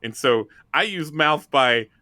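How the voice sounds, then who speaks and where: A man's voice: on the high side, animated, takes it slowly, sharp tone, dry recording. A man in casual, jokey banter as a player on a tabletop roleplaying podcast. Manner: jokey, playful.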